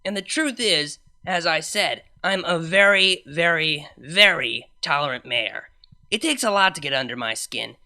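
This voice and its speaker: Brash, sardonic young DJ mare played by a woman for a cartoon fan story; bright, forceful, slangy delivery with big pitch swings. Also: lively intonation, a little slow, exaggerated voice. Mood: wry, boastful. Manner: chatty, slangy, wry storytelling asides. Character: brash young female unicorn nightclub DJ, sardonic, exasperated. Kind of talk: first-person fan fiction narration.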